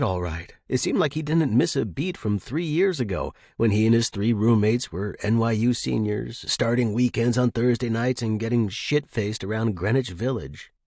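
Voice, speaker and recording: A man with a fairly low, husky voice works as a fiction podcast narrator and host. His delivery is that of expressive audiobook storytelling. The sound is very dry, with no room around it. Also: no echo.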